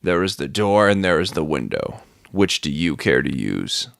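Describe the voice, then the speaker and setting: A man playing a fierce old farmer and protective father, gruff, sardonic and defiant, in an audio story. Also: a bass voice, sing-song, normal speed, a bit bright, no echo, clean studio sound, heavily acted. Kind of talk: literary audio story reading.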